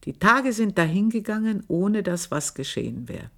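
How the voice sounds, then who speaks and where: An actress with an Austrian accent playing a guilt-ridden, vengeful housemaid in a radio play monologue, husky and breathy, confessing with brooding intensity. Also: female voice, medium pitch, big pitch swings, moderate pace. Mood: fervent, brooding. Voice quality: husky, breathy, often dropping to a whisper.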